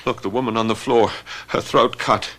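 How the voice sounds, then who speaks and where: An actor playing an earnest, excitable young Paris gendarme in a radio mystery drama, urgent and halting. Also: male voice, fairly low pitch. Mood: tense, alarmed. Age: young adult.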